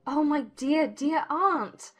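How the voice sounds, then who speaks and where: A woman voicing a witty, defiant young gentlewoman in a dramatized classic novel, speaking in arch, formal, exclamatory phrases. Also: high voice, animated, slow, faint music behind, voice pushed up. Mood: rapturous.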